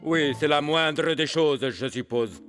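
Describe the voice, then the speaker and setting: A man playing a vain, gullible sorcerer in a French-dubbed children's cartoon, with a grandiose, drawn-out delivery. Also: a little high, music in the background. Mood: smug. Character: vain, gullible male sorcerer, easily flattered.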